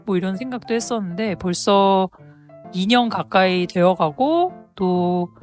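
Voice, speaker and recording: A woman of middle pitch who is a nun running a youth center. She talks in an easy, reflective way and adds a few light jokes. Faint music sits under her voice. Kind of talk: casual interview among coworkers.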